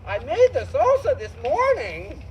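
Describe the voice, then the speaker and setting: A high-pitched, swooping boy rabbit from a kids' puppet show, played by a woman. He is an eager, neighborly chatterbox. There is faint noise behind the voice. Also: huge pitch swings, a little slow, darkish tone, exaggerated voice. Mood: friendly. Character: cheerful, friendly male rabbit, eager home cook. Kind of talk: children's puppet show storytelling.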